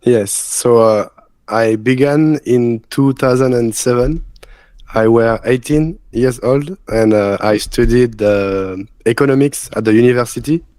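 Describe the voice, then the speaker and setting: A man in his thirties speaks English with a strong French accent, slowly and haltingly, pausing often to hunt for the right word. He sounds a little unwell. The recording is dry and close, with no room sound. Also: medium pitch, mellow, no echo. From France. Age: 30s. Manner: halting, many filler sounds, searching for English words. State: sick. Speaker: guest trader. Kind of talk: live stream interview.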